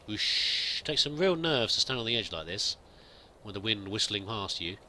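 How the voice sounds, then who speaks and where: A man with a slightly deep but bright, forward voice narrates his roleplay playthrough of a game. He thinks out loud in character and mutters to himself. Faint game ambience sits behind his voice. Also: big pitch swings, normal speed, a little hiss or hum, low-quality recording. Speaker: host of a roleplay let's play. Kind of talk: in-character game commentary, musing aloud.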